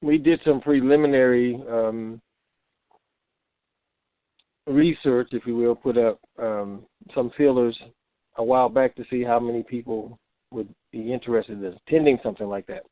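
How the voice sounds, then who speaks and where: A man's voice: mid-range voice, fairly even intonation, moderate pace, dry recording. A man co-hosting an informal conference call, answering questions over the telephone. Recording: dry narrowband phone line.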